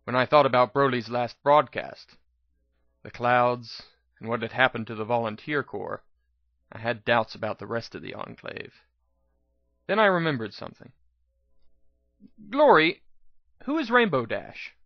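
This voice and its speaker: A man's voice: mid-range voice, normal speed, gravelly, no echo, dull audio. A man reading a first-person story aloud as its narrator, in a dramatic reading style. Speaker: narrator, reader.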